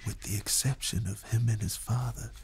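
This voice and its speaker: A man's voice: fairly low pitch, steady tone, normal speed, darkish tone, slight background noise. A man in his thirties giving a whispered ASMR reading and chat as a guest, close to a studio microphone. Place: small dark indoor studio. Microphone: close studio microphone at a table.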